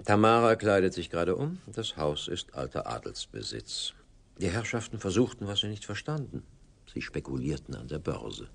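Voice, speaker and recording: A man acting a smug, evasive businessman in a German radio drama, gravelly, suave and condescending. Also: low voice, big pitch swings, normal speed, heavily acted.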